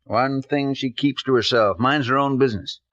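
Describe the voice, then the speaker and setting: An actor playing a reserved, guarded apartment house manager in a 1950s radio drama, speaking tersely. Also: male voice, mid-range voice, moderate pace, a bit bright, dry recording, lightly acted. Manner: terse, laconic. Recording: dull, hissy old radio recording.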